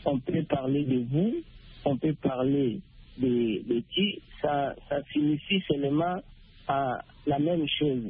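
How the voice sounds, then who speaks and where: A man calling in to a radio show, speaking slowly and deliberately. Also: a little high, slightly rough. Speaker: radio caller. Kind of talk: phone-in radio discussion. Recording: tinny phone line.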